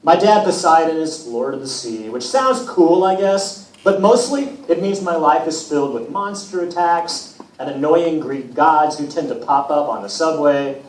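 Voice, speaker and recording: A man reading aloud as a wry, put-upon teenage boy demigod, telling his own story with self-deprecating, deadpan humour. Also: on the high side, normal speed, close to an everyday voice. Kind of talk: first-person storybook reading. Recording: echoey room from far off, hissy noise.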